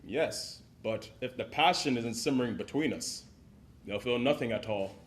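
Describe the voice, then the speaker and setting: A man's voice: mid-range voice, normal speed, natural voice. An actor playing a passionate stage actor who coaxes his scene partner and declaims verse with swelling volume. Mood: coaxing. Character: passionate male stage actor rehearsing a romantic lead. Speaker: actor in a dramatized rehearsal. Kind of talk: period drama acting, reciting verse.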